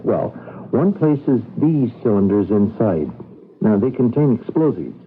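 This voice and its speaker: An actor playing a gentle, eager man who patiently explains and soothes in a radio drama, with an American accent and hesitant phrasing. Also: mid-range voice, very animated, unhurried. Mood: eager, explaining. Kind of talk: old-time radio drama acting. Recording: tinny, noisy old radio recording.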